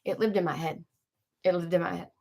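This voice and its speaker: A woman in her forties hosting a casual live stream from home, chatting with viewers, greeting them by name and often clearing her throat. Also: on the low side, fairly even intonation, a little fast, darkish tone. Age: 40s. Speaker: livestream host.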